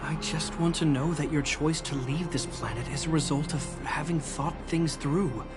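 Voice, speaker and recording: A man plays a dutiful, protective older brother in a video game. His voice sits a little high for a man, earnest and measured, with clear music playing behind it. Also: lightly acted. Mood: earnest, gentle. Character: protective, dutiful older brother, cautious young male fighter. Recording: voice under clear background music.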